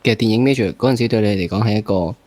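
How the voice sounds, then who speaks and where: Male interview host, low voice, Hong Kong Cantonese accent, chatty, teasing banter. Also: fast talker, a little mellow. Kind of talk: casual interview chat.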